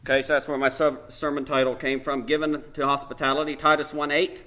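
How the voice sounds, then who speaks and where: A man's voice: mid-range voice, level tone, a little fast, bright, forceful tone. A man preaching an informal Bible teaching sermon in a church, conversational and hesitant, thinking aloud with many fillers.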